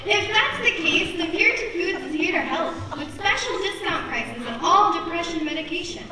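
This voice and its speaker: A woman playing a deadpan supermarket announcer, giving a formal store announcement in an amateur stage comedy. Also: extremely high pitch, a loud crowd. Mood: mock-formal. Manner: slow, formal announcement delivery. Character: deadpan female supermarket announcer reading specials. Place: theatre. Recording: very echoey hall, distant, laughing audience.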